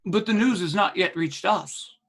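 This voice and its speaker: A husky, fawning sheriff. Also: male voice, high voice, normal speed, fairly bright tone, exaggerated voice. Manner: flustered, obsequious, stammering. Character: fawning, nervous male sheriff, greedy for favor. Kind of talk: radio play acting.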